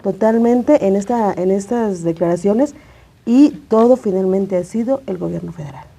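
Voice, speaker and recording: A woman's voice: mid-range voice, moderate pace, vocal fry, dark tone. A woman with a Mexican accent, a journalist giving conversational commentary as a guest in a studio interview.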